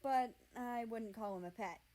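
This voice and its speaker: A woman voicing a friendly, considerate male healer in a fantasy storybook, explaining things gently. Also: a little high, moderate pace, close to an everyday voice.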